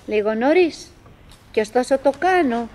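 An actress playing a chattering, wistful, nagging wife who clings to cheer, in halting, repetitive theatrical monologue for an absurdist radio play. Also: female voice, sits high, animated, darkish tone, slight background noise. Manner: halting, repetitive, theatrical, mimicking remembered dialogue.

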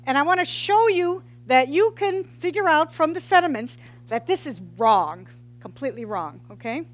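A woman's voice: high-pitched, lively intonation, moderate pace. A middle-aged woman, a geology professor, giving an informal public science talk into a headset mic in a large hall, joking and quizzing the audience. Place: large hall with brick walls.

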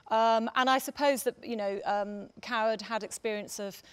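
A middle-aged woman moderating a panel discussion on stage, with tentative, halting phrasing. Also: on the high side, steady tone, clear, resonant tone. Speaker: panel chair. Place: theatre stage, seated panel.

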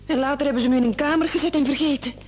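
An actress in a radio drama playing the distraught, reproachful wife of a gravely ill man. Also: high-pitched, fairly even intonation, racing, darkish tone, lightly acted. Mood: upset, protesting. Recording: old radio play, faint noise and hum.

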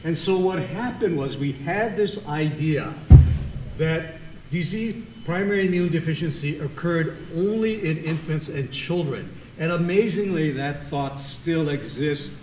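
An older man from Hawaii with a loud voice, giving a chatty, joking conference lecture as a physician. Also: on the high side, unhurried, slight background noise. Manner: chatty, self-deprecating, laughs at own jokes. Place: large conference room. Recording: echoey room, voice from a distance.

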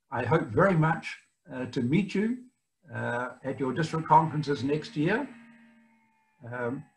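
An older man with a New Zealand accent, giving a guest speech over a video call from his home library, often pausing with fillers. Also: mid-range voice, poor audio. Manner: halting, many fillers and restarts. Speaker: guest speaker.

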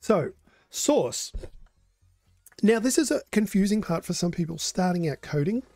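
An older man hosting a relaxed live modding tutorial, explaining code in a hoarse, coughing voice. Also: sits high, unhurried, no echo, soft background music. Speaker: modding stream host.

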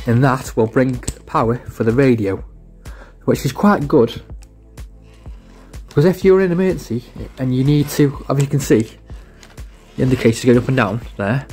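A man with an average-pitched voice presents a casual product demonstration. He talks informally as a reviewer, rambling along and circling back over the same points. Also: moderate pace, a little mellow, soft background music.

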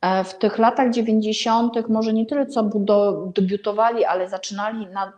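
A middle-aged woman gives an informal presenter's talk indoors, thoughtful and halting, often correcting herself as she goes. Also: mid-range voice, steady tone, darkish tone, lo-fi sound. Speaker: gallery host reviewing books. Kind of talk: informal video talk, book recommendation. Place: indoor room with paintings.

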